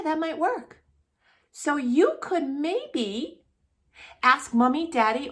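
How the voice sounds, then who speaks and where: A woman with a fairly high voice hosts storytime for small children. She speaks very slowly in an encouraging sing-song, posing simple questions and answering them as if the children had replied. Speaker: host of a children's storytime channel. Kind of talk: talking directly to young children.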